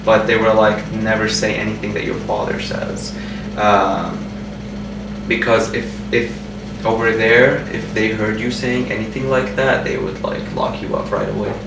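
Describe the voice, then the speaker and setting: A man's voice: fairly low pitch, vocal fry. A man in his twenties from Jordan, speaking in a personal interview in a hesitant way with many restarts and fillers. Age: 20s. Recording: roomy sound from a distant mic with background hum.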